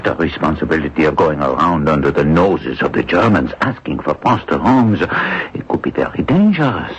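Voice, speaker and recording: An actor playing a cautious, solemn French priest in a radio drama, speaking with careful deliberation. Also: male voice, rumbling low, expressive, moderate pace. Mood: worried, cautious. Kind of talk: radio mystery drama acting. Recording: muffled old radio recording, faint noise.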